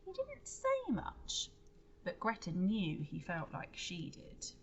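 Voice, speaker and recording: A woman's voice: fairly low pitch, huge pitch swings, slow, slight background noise. A woman reading a storybook aloud to children like a teacher, expressively, with explanatory asides and questions to her listeners.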